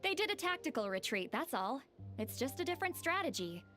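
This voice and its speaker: A brash young warrior girl from an anime-style game. Her voice is high and very lively, with a haughty, boastful edge and a short fuse. Game music plays clearly behind her. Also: brisk, exaggerated voice. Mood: dismissive. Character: proud, brash young female warrior, easily vexed. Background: video game music.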